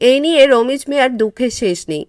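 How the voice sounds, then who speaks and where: A woman's voice: sits high, animated, quick pace, a little mellow. A woman giving scripted storybook narration as the narrator of a cartoon.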